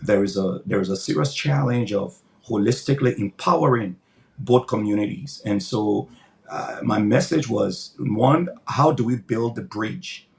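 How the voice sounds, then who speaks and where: Gravelly, low-voiced older pastor. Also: male voice, unhurried, a little mellow. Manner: earnest, emphatic, repeats words as he builds points. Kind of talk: interview answers, preaching cadence.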